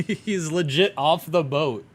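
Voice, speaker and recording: A man's voice: on the high side, husky. A young man running a tabletop game on a stream, casual and profane, often laughing between rulings. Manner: profane, joking, quick to laugh. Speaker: game master.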